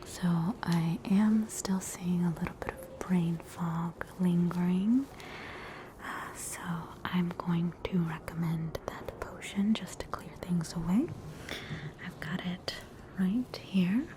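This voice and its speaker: A woman's voice: lowish voice, noisy background. An Australian woman voicing a soothing, faintly haughty witch doctor in a whispered ASMR roleplay. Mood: soothing, reassuring. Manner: slow, soothing, reassuring bedside manner.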